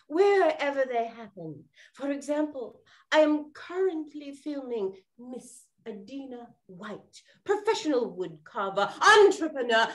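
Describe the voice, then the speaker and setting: An imperious lady film director of the talkie era, played by a woman on stage. She is high-pitched and takes her time, pompous and theatrical, with big swings of intonation. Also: fairly bright tone, full character voice. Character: grandiose, imperious, temperamental female Hollywood film director. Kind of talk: amateur 1920s period stage acting.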